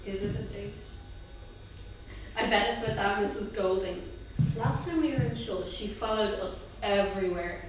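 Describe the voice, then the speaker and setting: A woman's voice: medium pitch, moderate pace, close to an everyday voice. A woman acting an inquisitive, anxious schoolgirl and big sister in a staged reading, plain-spoken and full of questions. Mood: anxious, nosy. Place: theatre stage before an audience. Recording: very echoey theatre, distant, noisy.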